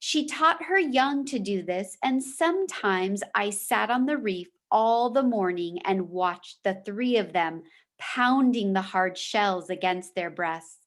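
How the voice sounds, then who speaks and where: A woman teacher reading a children's book aloud in a measured, deliberate storybook manner, with short explanatory asides. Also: mid-range voice, lively intonation.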